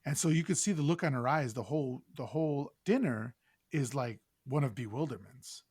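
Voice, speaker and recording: A man's voice: medium pitch, moderate pace, a little mellow, slightly rough, no room sound, clean studio sound. A man hosting a podcast, casually recapping and discussing a film with informal, salty asides. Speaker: podcast host.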